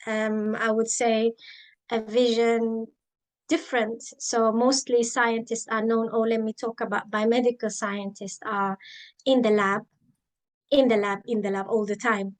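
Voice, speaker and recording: A woman in her thirties, raised in the Emirates, telling her career story in an interview, thoughtful and pausing with many ums. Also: on the high side, little pitch movement, rough recording. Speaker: guest, biomedical scientist and author. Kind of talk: interview, reflective personal story.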